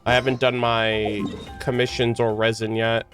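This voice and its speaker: A man chatting casually on a livestream as he streams games, rambling along in a halting way. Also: mid-range voice, little pitch movement, fairly bright tone. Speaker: game streamer. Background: background music.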